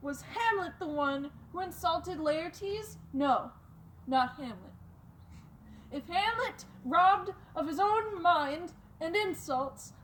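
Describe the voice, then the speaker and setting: A troubled young prince, played by a woman in an amateur home video. The voice sits very high and moves at an unhurried, careful pace. Faint room noise hums underneath. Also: a bit bright, heavily acted.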